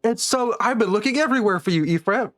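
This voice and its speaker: A man's voice: high-pitched, lively intonation, fast, fairly bright tone, dry recording, studio quality, soft background music, voice pushed up. A man doing a gushing, doting dad. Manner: gushing, rambling, fussy. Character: doting cheerful middle-aged father. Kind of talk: game master voicing a character.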